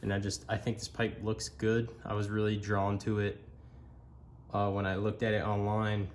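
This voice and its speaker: A man's voice: lowish voice, moderate pace. A man giving a casual hands-on product walkthrough in a plain-spoken, somewhat hesitant way. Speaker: amateur motorcycle reviewer. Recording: clear steady background noise.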